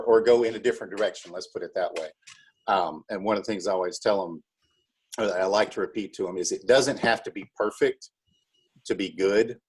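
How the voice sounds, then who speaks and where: Low-voiced American man with husky edge. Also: a little mellow, rough recording. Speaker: drama teacher and coach, podcast guest. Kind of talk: casual interview chat.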